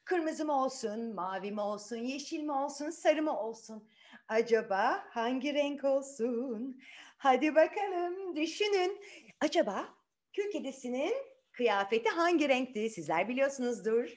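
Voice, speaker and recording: A woman telling a story to children in an animated, interactive way, often asking questions and repeating phrases. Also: high-pitched, moderate pace.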